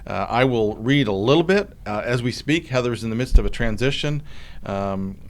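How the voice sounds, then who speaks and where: A man hosting a podcast interview, talking in a relaxed way with many uh and um fillers. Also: medium pitch, normal speed. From Oklahoma. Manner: relaxed, filler-heavy delivery with frequent uh and um. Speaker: podcast host, insurance commissioner.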